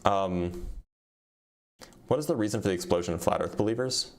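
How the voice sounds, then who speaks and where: A man with a fairly low voice hosts a casual livestream Q&A on a streaming mic. He reads each question out, then answers in a plain, matter-of-fact way full of ums. His voice is starting to wear out. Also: normal speed. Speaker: physicist answering viewer questions.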